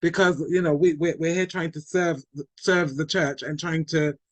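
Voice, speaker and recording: A man hosting a church webinar over a video call. His voice is slightly high and sounds dry and close, and he thanks contributors often, circling and repeating words while he thinks aloud. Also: steady tone, moderate pace, no room sound. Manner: warm, repetitive, thanking, hesitant. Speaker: webinar chair. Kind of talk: chairing an online discussion.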